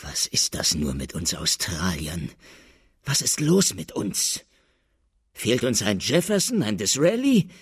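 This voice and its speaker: An actor playing a bitter, self-righteous man with a husky voice, indignantly asking rhetorical questions in a radio drama. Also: fairly low pitch, huge pitch swings, slow pace, heavily acted. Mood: bitter bewilderment. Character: bitter, indignant male Australian citizen, self-righteous and wounded.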